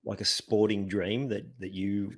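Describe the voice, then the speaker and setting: A man's voice: fairly low pitch, level tone, measured pace, husky. A man hosting a podcast who asks questions and often cuts in with short words of agreement. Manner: affirming, frequent agreement. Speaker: podcast host. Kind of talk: casual interview.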